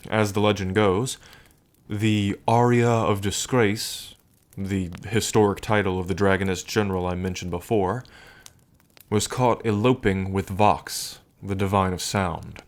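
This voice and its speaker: A man playing an affectionate, wry and regal dragon king in a soft, unhurried ASMR roleplay monologue. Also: fairly low pitch, fairly even intonation, lightly acted. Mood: solemn, explaining. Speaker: roleplay character performer.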